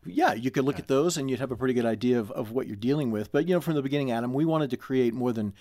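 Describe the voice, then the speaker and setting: Male interview guest, mid-pitched, measured and explanatory, close dry recording. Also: warm tone, no room sound. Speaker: guest, audio drama club manager. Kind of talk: conversational interview answers.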